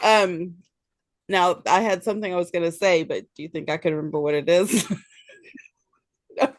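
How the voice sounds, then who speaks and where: A woman's voice: on the low side, fairly even intonation, moderate pace. A woman in her fifties co-hosting a podcast, chatting casually with teasing, supportive remarks and frequent giggles. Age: 50s. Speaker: podcast co-host. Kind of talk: casual chat.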